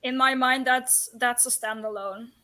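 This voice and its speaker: A woman's voice: a little high, fairly even intonation, normal speed, a crowd in the background. A woman chatting casually with friends as a co-host on a livestream. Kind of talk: casual chat.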